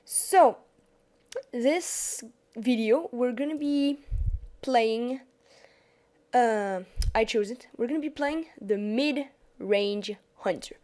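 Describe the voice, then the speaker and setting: A woman's voice: a little high, takes it slowly, long pauses, darkish tone. A young woman with a French accent, chatting casually as she narrates a card game on screen, often restarting her sentences. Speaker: gaming YouTuber. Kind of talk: casual gameplay commentary over screen capture.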